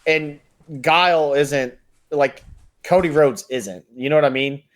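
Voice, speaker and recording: A man's voice: a little high, measured pace. An American man co-hosting a podcast, giving his opinions in casual pop-culture conversation. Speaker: podcast co-host.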